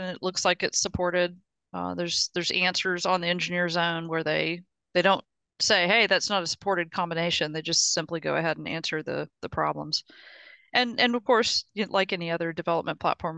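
A woman's voice: lowish voice, a bit bright, clean tone, dry recording. A woman explaining hardware plans in a technical meeting over a video call, often restarting and repeating words as she thinks aloud. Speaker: engineering project lead in a video call.

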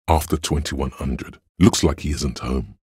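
A man voicing the cold, analytical inner voice of logic in a detective's mind for a video game, with measured, dry, condescending delivery and a very deep, gravelly, husky tone. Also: a little mellow, no echo, full character voice. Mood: dry, deliberate. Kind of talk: video game narration in second person.

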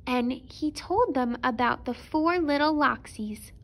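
A woman's voice: high-pitched, slow pace, a bit bright. A woman storyteller narrating a children's picture book expressively for preschoolers.

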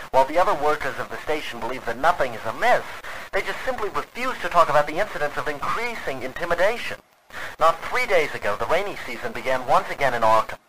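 A man playing a worried 1920s radio station worker, dictating a formal, measured log on a noisy old recording. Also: medium pitch, sharp tone. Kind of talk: old-time radio drama narration, formal diction.

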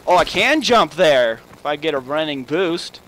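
A man's voice: a little high, very animated, normal speed, fairly bright tone. Whiny young gamer boy yelping. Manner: whiny, exclaims often. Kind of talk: casual gaming chat. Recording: noisy home mic.

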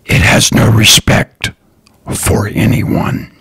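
A man hosting his own podcast, talking slowly and earnestly in a raspy, partly whispered voice. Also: fairly bright tone. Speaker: podcast host, lawn care business owner. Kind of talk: slow, earnest solo podcast talk.

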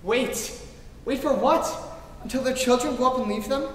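A man's voice: extremely high pitch, moderate pace. An earnest young idealist, acted by a man in a live radio play, speaking with passion. The sound is distant, reverberant and slightly noisy. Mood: impassioned. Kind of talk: staged old-time radio play acting. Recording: echoey hall from far off, noisy.

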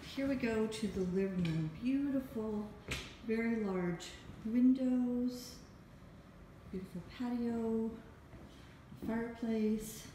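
Lady doing a house rental tour on her phone, slow and halting, really echoey empty rooms. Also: on the high side. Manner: halting, pausing between short phrases. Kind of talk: walk-through property tour narration. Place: walking through an empty house. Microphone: handheld phone behind the camera. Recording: echoey empty rooms, clear background noise.

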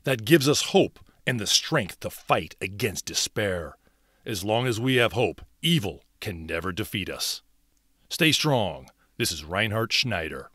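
A man voicing a valiant, devout vampire hunter from a video game, speaking in an earnest, measured, theatrical way. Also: lowish voice, sing-song, pushed and bright, no room sound, studio quality, exaggerated voice. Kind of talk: dramatic video game character acting.

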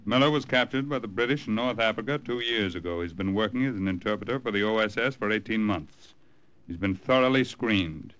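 A man acting a matter-of-fact colonel in an old radio war drama, measured and authoritative. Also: fairly low pitch, fairly bright tone, natural voice, rough recording. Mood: firm, measured. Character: firm, matter-of-fact male army colonel briefing his agents.

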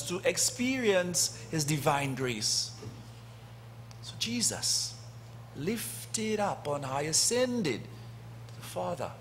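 A middle-aged priest preaching a sermon in a church, speaking deliberately with long pauses, swelling loud and then dropping soft, into a lectern microphone. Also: male voice, high-pitched, slight background noise. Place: church sanctuary, indoors. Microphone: lectern gooseneck mic.

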